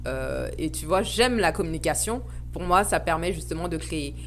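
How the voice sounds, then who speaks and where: A woman's voice: low-pitched, expressive, normal speed, a bit bright, slight background noise. A woman, a guest speaking earnestly and reflectively with frequent hesitations. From Paris suburbs, France. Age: 30s. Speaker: guest, association founder. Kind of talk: podcast interview, personal reflection.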